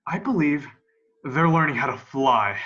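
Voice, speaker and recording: A young man playing a grim, defiant militia survivor of an alien invasion in an amateur radio play, with a folksy, halting, emphatic delivery. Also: on the high side, lively intonation, pushed and bright. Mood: wary. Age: young adult. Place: room at home. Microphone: video call. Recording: dull, lo-fi video call.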